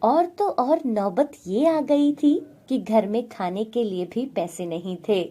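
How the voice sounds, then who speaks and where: A woman's voice: on the high side, slow pace, warm tone. A woman narrating a scripted moral bedtime story as a storyteller.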